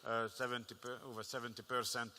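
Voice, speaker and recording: Bright-voiced man in an echoing hall. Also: mid-range voice, level tone. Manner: measured, with frequent restarts and fillers. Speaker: bank executive on a panel. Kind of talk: panel discussion answer. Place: large conference hall. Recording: reverberant conference hall.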